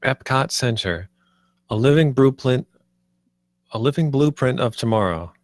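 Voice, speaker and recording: A man's voice: mid-range voice, lively intonation, measured pace, no echo, lightly acted. A man playing an earnest, idealistic theme park designer in a screenplay table read, with a speechlike delivery. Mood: earnest, visionary.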